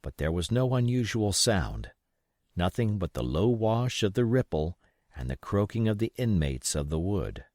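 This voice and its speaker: A man reading a classic adventure novel aloud in expressive scripted narration. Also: lowish voice, dry recording, clean studio sound. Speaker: audiobook reader.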